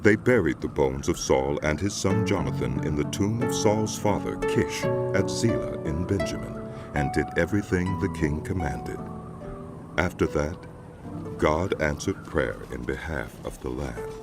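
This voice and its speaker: Male Bible narrator: extremely deep, expressive intonation, solemn storyteller. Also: measured pace. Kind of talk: dramatized scripture narration. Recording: music behind the voice. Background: background music.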